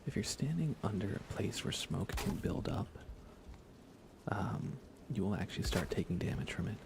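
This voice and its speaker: A soft-spoken man chatting casually in an ASMR game livestream, often slipping into a whisper as he rambles along unhurriedly. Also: lowish voice, sing-song, normal speed, gravelly, background noise. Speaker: live streamer. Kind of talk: soft ASMR gaming stream, casual chat with viewers.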